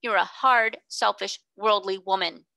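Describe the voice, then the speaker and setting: A woman's voice: expressive, normal speed, pushed and bright, dry recording. A woman reading voices a timid middle-aged schoolmistress who breaks into tearful hysterics. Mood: hysterical. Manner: tearful, pleading, rising to shrill outbursts.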